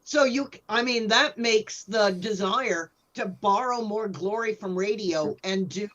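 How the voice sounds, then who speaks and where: A woman's voice: medium pitch, a little slow, a bit bright. A woman speaking as a historian on a panel, explaining informally and with emphasis.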